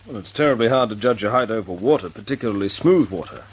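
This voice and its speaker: A man's voice: lowish voice, animated, fast, husky. An actor playing a confident young male squadron leader in a 1940s radio drama. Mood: brisk.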